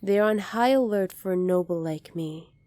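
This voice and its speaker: A woman playing a poised, kindly young alien princess in an ASMR roleplay, polite, formal and measured, soft-spoken with breathy moments. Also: mid-range voice, no echo, lightly acted. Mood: gentle, reassuring.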